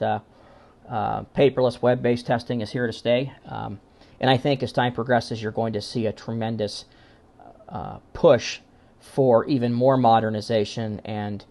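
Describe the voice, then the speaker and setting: A man's voice: mid-range voice, darkish tone. A man giving an informal recorded presentation in an earnest, wordy way with formal phrasing. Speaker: conference presenter, amateur radio exam team founder.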